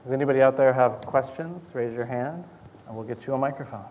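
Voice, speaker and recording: Hesitant man hosting over clear background noise. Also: medium pitch, quick pace, darkish tone. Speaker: host of a live audience Q&A. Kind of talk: casual event moderating. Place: indoor event venue with audience. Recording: noisy live event room.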